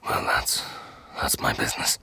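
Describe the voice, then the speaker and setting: An actor playing a gravely ill, stubborn fugitive in a dark fantasy audio drama, speaking in weak, breathy, halting phrases. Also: male voice, close to an everyday voice. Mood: guarded, weak. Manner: halting, faint, mumbled phrases. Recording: clear noise, clicks.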